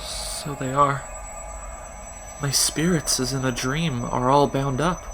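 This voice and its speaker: A man playing a lovelorn, grieving young captive prince in Shakespearean audio drama, poetic and deliberate. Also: medium pitch, steady tone, natural voice. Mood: dreamy sorrow. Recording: noisy remote home recording.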